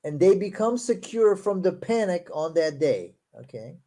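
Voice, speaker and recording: A man whose first language is Portuguese hosts a casual discussion. He reads passages aloud slowly and then adds quick, sarcastic remarks. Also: high voice, very animated, dark tone. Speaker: show host.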